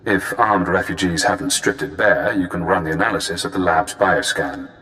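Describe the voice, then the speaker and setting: An actor playing a cool, cunning spy handler in a video game, speaking in measured, cerebral, clipped phrases. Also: male voice, sits low, bright, forceful tone, low-quality recording. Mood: cool, appraising.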